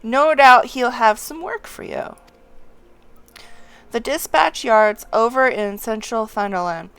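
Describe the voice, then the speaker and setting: Woman voicing a folksy, slow-talking fantasy quest-giver. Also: a little high, fairly bright tone, clean tone. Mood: folksy, advising. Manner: colloquial, old-fashioned rustic phrasing. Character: folksy, gruff but helpful quest-giver in fantasy game. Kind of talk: game quest text read in character.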